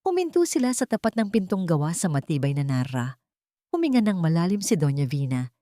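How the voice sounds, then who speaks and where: A woman narrates a scripted story in a dramatic style. Her voice sits slightly low and moves with animated intonation. The recording is close and dry. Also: fairly quick, dry recording.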